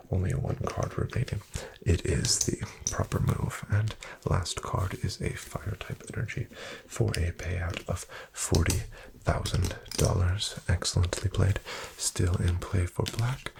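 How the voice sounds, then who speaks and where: A man speaks very softly in a low voice full of heavy creak, often sinking toward a whisper. He takes his time, playing a courteous, formal casino dealer for an ASMR roleplay. There is clear noise in the recording, with cards shuffling and clicking behind him. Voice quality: breathy, gravelly, often near whisper. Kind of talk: soft-spoken ASMR roleplay. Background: card shuffling and clicks.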